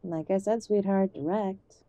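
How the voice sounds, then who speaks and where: Give the part to an affectionate, ailing older mother played by a woman in an audio drama. Her voice is soft-edged and mellow, recorded close and dry. She fusses gently, old-fashioned and stubbornly hopeful. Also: mid-range voice, steady tone, a little slow, no echo, close to an everyday voice. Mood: measured, serious. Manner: gentle, fussing, uses endearments.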